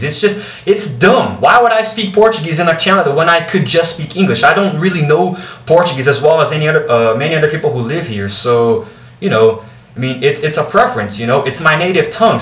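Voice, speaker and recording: A man's voice: a little high, a bit bright. Blunt young man with an American accent. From Brazil. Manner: blunt, exasperated, swears, fumbles words. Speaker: YouTuber. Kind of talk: unscripted vlog rant. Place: room indoors, talking to camera. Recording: roomy echo, a bit far from the mic.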